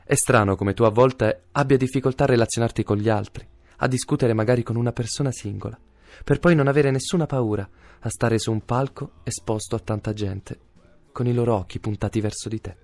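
A man's voice: mid-range voice, steady tone, a little fast, darkish tone, lightly acted. A man in a radio drama voicing a young man's own courage, reading reproachful, intimate letters addressed to him. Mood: reproachful, earnest.

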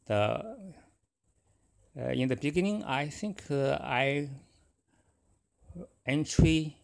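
A middle-aged man whose first language is Chinese, talking casually and haltingly about his trading, with many fillers and restarts. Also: fairly high pitch, animated, gravelly. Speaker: forex trader. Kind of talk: casual screen-recorded trading talk.

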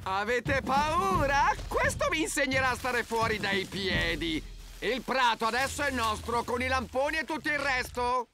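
A man voicing a scheming, bossy marten gang leader in an animated cartoon, with a theatrical, commanding manner. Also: very high voice, normal speed, slight background noise, full character voice. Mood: gloating. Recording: loud music behind. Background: loud music.

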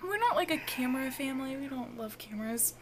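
A young woman, chatting casually to a handheld camera at home at night, loud and laughing often. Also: high-pitched, animated, quick pace, slight background noise. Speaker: family vlogger. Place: home kitchen and living room at night. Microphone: handheld camera at arm's length.

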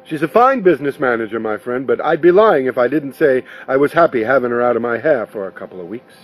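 A man voicing an affable, wisecracking musician in a storybook, breezy and folksy, with a husky voice. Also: medium pitch, expressive, fast, faint music behind, natural voice, poor audio. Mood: wry. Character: affable, wisecracking male banjo entertainer, eager to help.

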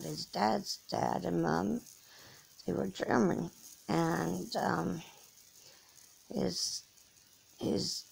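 A middle-aged woman from Norfolk, England, with an English accent tinged with American Southern, rambling slowly and haltingly while lying in bed and talking into a phone held close. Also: lowish voice, gravelly, breathy edge. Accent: English with some American Southern. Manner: slow, halting, many restarts and fillers. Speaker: vlogger. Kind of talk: rambling personal monologue. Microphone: phone held close above the face.

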